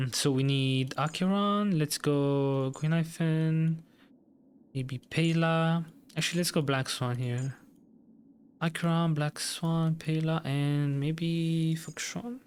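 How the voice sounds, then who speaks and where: A man's voice: a little high, steady tone, slow, darkish tone, smooth. A man giving casual, off-the-cuff commentary as he streams a video game.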